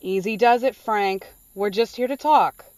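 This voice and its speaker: A woman's voice: mid-range voice, measured pace, darkish tone, no room sound, close to an everyday voice. A woman playing a firm, businesslike small-town sheriff in an amateur radio mystery. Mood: calming.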